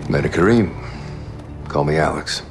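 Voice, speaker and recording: An actor playing a cool, businesslike covert operative. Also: male voice, extremely low pitch, moderate pace, creaky, music in the background. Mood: calm, guarded.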